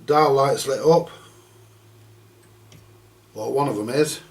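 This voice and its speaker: A man giving casual, hands-on workshop commentary as host of a repair video, chatty and halting as he thinks aloud. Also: medium pitch.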